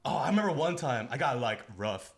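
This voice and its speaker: Male podcast participant, very lively pitch movement, casual slangy storyteller, halting with repeated words. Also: creaky. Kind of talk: casual storytelling among friends.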